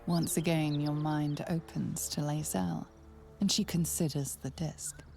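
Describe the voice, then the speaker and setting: A woman playing the ominous narrator of a fantasy video game, hushed and portentous. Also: low voice, steady tone, unhurried, creaky. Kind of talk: second-person game narration. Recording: music behind the voice.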